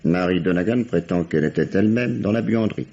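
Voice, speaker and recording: An actor playing a sardonic, authoritative middle-aged police inspector questioning a suspect in a 1950s-style French radio drama. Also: male voice, sits low, quick pace, warm tone, slight background noise. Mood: stern. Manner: authoritative, sarcastic, theatrical. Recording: dull, lo-fi vintage radio recording.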